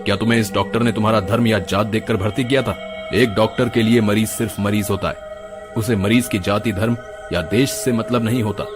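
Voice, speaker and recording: Male actor as dead doctor's ghost, low-pitched, moralizing, scolding yet caring, animated horror story, clear background music. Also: brisk, close to an everyday voice. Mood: reproachful. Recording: music behind the voice.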